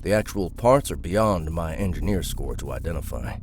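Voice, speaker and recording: An actor playing a gruff, confident mechanic in an audiobook drama, talking in a blunt, wry, offhand way. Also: male voice, very deep voice, expressive, normal speed, a little hiss or hum. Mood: offhand appraisal.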